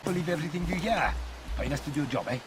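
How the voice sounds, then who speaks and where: An actor playing a slick, wry rebel recruiter in a video game, with a colloquial way of talking. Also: male voice, on the high side, very fast, noisy background, lightly acted. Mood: wry, briefing. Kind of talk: video game cutscene acting.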